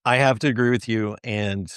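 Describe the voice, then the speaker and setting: A man's voice: on the low side, steady tone, normal speed, fairly bright tone, clean tone, dry recording. Chummy American man hosting, dry close sound. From Pennsylvania, United States. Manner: chummy, enthusiastic, laughs easily. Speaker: show host. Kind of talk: casual interview.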